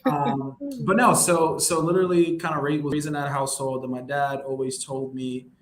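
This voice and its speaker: A man's voice: fairly high pitch, moderate pace. A young man from New York City, a wealth management advisor, talking casually and at length as a guest, with lots of fillers and an upbeat, self-promoting manner. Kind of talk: casual interview conversation. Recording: roomy echo with faint background hum.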